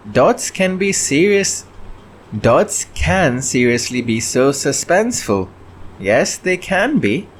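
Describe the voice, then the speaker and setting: A man talks through his work in a slow, halting, casual way, as an indie game developer thinking aloud on a devlog. His pitch moves around in a lively way from an average register. There is faint noise behind him. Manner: halting, often clearing throat. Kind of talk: casual chat, thinking aloud while working.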